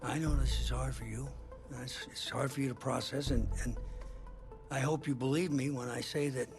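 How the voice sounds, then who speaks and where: A male actor plays a middle-aged football coach with a gravelly voice that turns breathy when quiet. He is earnest and firm, picking his words slowly in a video game scene, with music behind him. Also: mid-range voice, steady tone, close to an everyday voice. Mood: firm, frank. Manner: halting, deliberate, plain-spoken. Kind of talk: naturalistic video game drama acting.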